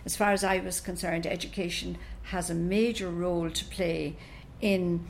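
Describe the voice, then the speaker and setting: An older woman with an Irish accent whose first language is Irish, answering interview questions in a thoughtful, unhurried, explanatory way as a retired professor. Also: on the low side, fairly even intonation, gravelly, a little hiss or hum. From Ireland.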